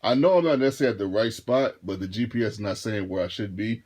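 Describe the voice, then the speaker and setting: A man in his thirties with a deep, low voice, chatting straight to the camera in a loose storytime. He takes his time, repeats himself and circles back mid-sentence in an easygoing way. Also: quick pace, a little mellow. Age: 30s. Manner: laid-back, rambling, repeats and restarts phrases. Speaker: vlogger and rideshare driver. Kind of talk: casual storytime monologue.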